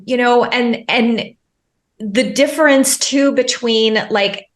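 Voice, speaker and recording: Animated woman coach explaining on a podcast. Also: on the high side. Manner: animated explaining with fillers and repeated words. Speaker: intuitive life and business coach, guest. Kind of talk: podcast interview.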